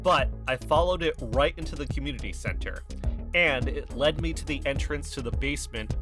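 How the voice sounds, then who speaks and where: An actor playing an excitable, conspiracy-minded young podcast investigator in an audio drama, dramatic and suspicious. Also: medium pitch, moderate pace, over music, close to an everyday voice. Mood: conspiratorial. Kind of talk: audio fiction acting.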